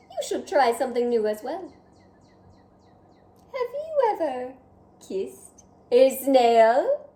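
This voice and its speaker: A woman's voice: a little high, warm tone. A woman voicing a vain, dramatic male French snail who speaks theatrically, admires himself and talks straight to the listener. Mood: grandly chatty. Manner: theatrical, self-admiring, addresses listener directly. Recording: roomy echo.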